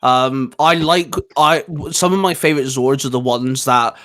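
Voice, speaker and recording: A man co-hosting a casual fan discussion, chatty and informal with a halting delivery. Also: medium pitch, fairly bright tone. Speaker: co-host.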